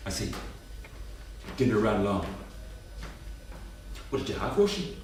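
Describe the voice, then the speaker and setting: A man acts out a suspicious, jealous father and husband. His clipped, accusing delivery swings widely in pitch. He is heard from far off in a very echoey theatre. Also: very animated, measured pace, a little hiss or hum. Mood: suspicious, probing. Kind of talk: staged script reading.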